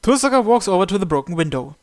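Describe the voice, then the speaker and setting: A man with a somewhat high voice reads first-person story narration from a visual novel aloud. He delivers it as the host of a gaming series, working through the script line by line. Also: sing-song, fast, darkish tone.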